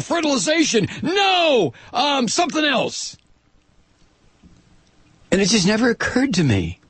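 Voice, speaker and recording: Male American radio host, irreverent and sarcastic, with a husky, creaky voice and dry, close sound. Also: no room sound. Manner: dramatic emphasis, swinging from low asides to shouts. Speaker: political talk show host. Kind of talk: opinionated radio monologue, reading and commenting on listener mail and news.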